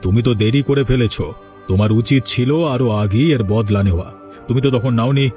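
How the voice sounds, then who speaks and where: A man's voice: medium pitch, fairly quick, dark tone, soft background music, lightly acted. A man voicing a spiteful village uncle who schemes in a sly, conspiratorial way, in a cartoon. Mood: spiteful, scheming. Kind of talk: Bengali cartoon dialogue dubbing.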